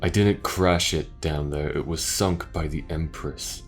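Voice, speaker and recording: A very deep voice for a noble alien warrior, acted by a man. He speaks in measured, old-fashioned phrases and addresses others with knightly courtesy, as in a fantasy space adventure roleplay. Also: soft background music. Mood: wry, measured. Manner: formal, archaic wording, measured. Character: gallant, formal alien sailor warrior, courteous to lords. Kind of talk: audio roleplay drama.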